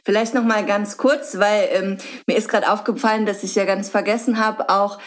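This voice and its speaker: A woman's voice: mid-range voice, steady tone, normal speed. A young woman hosting a casual interview in a guest's home, chatty and often hesitating. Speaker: host.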